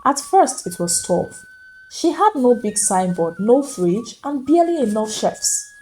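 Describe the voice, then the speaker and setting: A woman's voice: fairly high pitch, very animated, unhurried, darkish tone, slight background noise. A woman storyteller reading a dramatic scripted folktale as narrator. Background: faint music.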